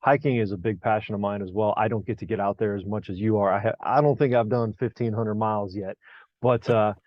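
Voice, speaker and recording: A man's voice: lowish voice, steady tone, fairly quick, dry recording. A man hosting a podcast, interviewing in a conversational style. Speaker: podcast host. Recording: dull, lo-fi close recording.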